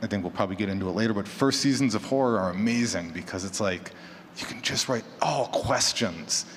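Low-voiced man in an echoey hall. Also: brisk, fairly bright tone. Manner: conversational, illustrates with hypothetical quotes and examples. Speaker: panelist, horror fiction podcaster. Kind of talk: casual conference panel talk. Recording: echoey hall, clear background noise.

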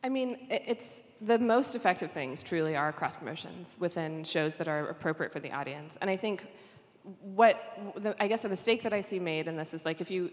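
A woman on a discussion panel talking casually, acting out imagined quotes as she explains. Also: lowish voice. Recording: reverberant hall.

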